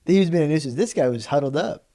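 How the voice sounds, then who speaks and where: A man's voice: a little high, lively intonation, quick pace, mellow. A young man co-hosting a podcast, laid-back and laughing, often saying dude. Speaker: podcast co-host. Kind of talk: casual chat.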